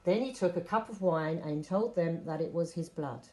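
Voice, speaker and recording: A woman reading a Bible story aloud as scripted narration, with expressive delivery of quoted speech. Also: lowish voice, moderate pace, poor audio. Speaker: story reader.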